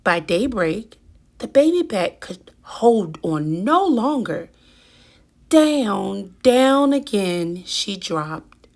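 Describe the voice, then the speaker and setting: A woman reading a children's picture book aloud with deliberate, dramatic emphasis. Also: medium pitch, sing-song. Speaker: children's story reader.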